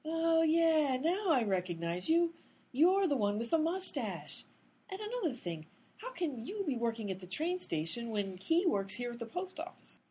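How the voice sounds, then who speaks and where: A woman's voice: sits high, big pitch swings, moderate pace, dark tone. A woman playing an earnest, literal-minded amateur detective in a radio comedy, insistent and pedantic. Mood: argumentative.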